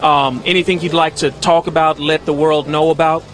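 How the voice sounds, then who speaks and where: A man's voice: fairly high pitch, fairly even intonation, normal speed, a bit bright, soft background music. Upbeat man interviewing outdoors. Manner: upbeat, repeats "outstanding" a lot. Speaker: event interviewer. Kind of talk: casual on-the-street interviews. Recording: noisy outdoor street.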